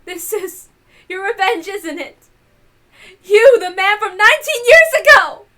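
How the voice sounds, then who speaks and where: Anguished mother, high and hysterical. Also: animated, unhurried, bright, forceful tone, full character voice. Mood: sobbing, bitter. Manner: sobbing, dramatic outbursts. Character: anguished married mother confessing her crime in hysterical grief. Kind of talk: dramatic visual novel reading.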